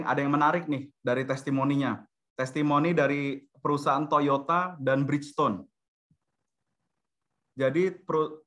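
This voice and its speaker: A man's voice: on the high side, sharp tone. A man with an Indonesian accent giving a semi-formal corporate product presentation in an explanatory manner, mixing in English business terms. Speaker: webinar presenter for a software company. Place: online video-conference webinar.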